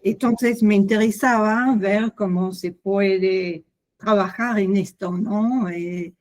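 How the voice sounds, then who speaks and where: A French woman with a French accent, speaking hesitantly in a casual book club video call. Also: mid-range voice. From France. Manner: hesitant, many fillers.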